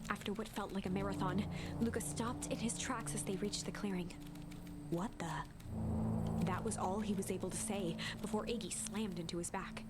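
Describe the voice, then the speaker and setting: A woman reading game narration aloud like a dramatic storybook, in a theatrical, measured manner. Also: mid-range voice, fairly even intonation, heavy background noise. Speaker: let's play narrator. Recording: loud music behind the voice.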